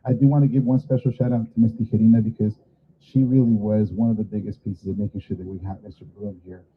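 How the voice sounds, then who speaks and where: A hoarse man hosting a school ceremony in a casual, apologetic way with many fillers. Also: lowish voice, level tone, fast, a little hiss or hum. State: hoarse, losing his voice. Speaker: master of ceremonies at a school event. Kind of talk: informal event hosting. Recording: tinny phone-like sound.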